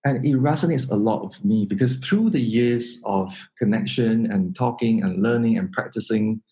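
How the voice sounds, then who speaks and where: A man from Singapore, hosting a solo podcast introduction in an earnest, measured way. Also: on the low side, warm tone. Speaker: podcast host.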